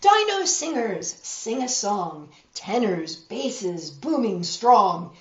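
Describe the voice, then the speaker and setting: A woman reading a picture book aloud to children in a measured, expressive way, with emphasized rhymes and friendly asides. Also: medium pitch. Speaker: storytime host reading picture books. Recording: roomy echo, slightly dull sound.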